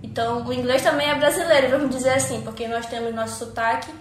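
A woman's voice: fairly high pitch, steady tone, fast talker, echoey room, slight background noise. A woman language teacher from Pará with a Paraense accent, explaining exam tips in a panel talk, often hesitating and restarting. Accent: Paraense Brazilian Portuguese. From Pará, Brazil. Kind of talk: educational panel discussion.

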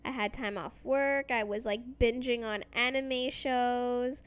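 A woman co-hosting a casual podcast chat, chatty and upbeat, her voice slightly hoarse from recovering from an illness. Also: on the high side, steady tone, slow pace. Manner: chatty, upbeat, lots of fillers and laughs. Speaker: podcast co-host.

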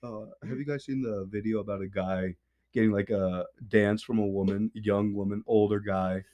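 A young man with a deep voice that often cracks, joining crude, laughing banter on a podcast. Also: fairly even intonation, moderate pace, dull audio. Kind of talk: casual chat.

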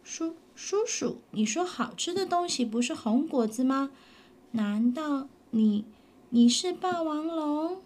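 A woman voicing a young boy dinosaur in a storybook, speaking in high, pleading protests with stammers. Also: lively intonation, slow pace, a little mellow. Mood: puzzled. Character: young male dinosaur child, upset and stubborn.

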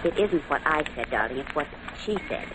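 Detective's girl Friday: eager, inquisitive, very animated, vintage radio with faint noise. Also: low-pitched, fairly quick. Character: perky, inquisitive young female sidekick of a detective. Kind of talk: 1940s radio acting. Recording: faintly noisy old-time radio recording.

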